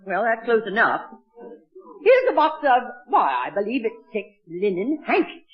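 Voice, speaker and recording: A woman's voice: a little high, very animated, moderate pace. An actress playing a prim, kindly lady librarian running a quiz in an old radio comedy, precise and formal. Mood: patient, prim. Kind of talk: 1940s radio comedy acting. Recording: dull, lo-fi vintage radio recording.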